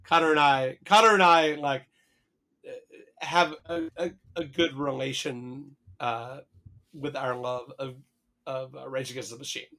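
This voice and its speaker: A hoarse man co-hosting a podcast, chatting casually, swearing and hesitating often. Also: medium pitch, steady tone, unhurried, bright, forceful tone. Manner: rambling, swears casually, many fillers and restarts. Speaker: podcast co-host. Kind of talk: casual chat.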